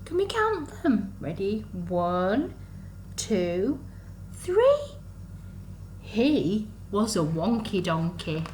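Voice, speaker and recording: A woman reading a story to young children in an animated, sing-song, exaggerated way, as a storyteller for under-fives. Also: mid-range voice, very slow, pauses often. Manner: sing-song, exaggerated, asks the listeners questions. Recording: echoey room, faint background noise.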